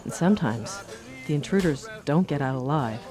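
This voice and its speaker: An actor playing a small-town bartender in a radio play, recounting a local legend in a measured, ominous manner. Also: mid-range voice, animated, dark tone, soft background music, natural voice. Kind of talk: dramatic storytelling in a radio play.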